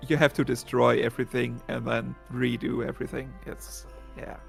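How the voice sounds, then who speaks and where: A man giving casual, explanatory speedrun commentary in a halting, hesitant way. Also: medium pitch, little pitch movement, vocal fry. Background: video game music.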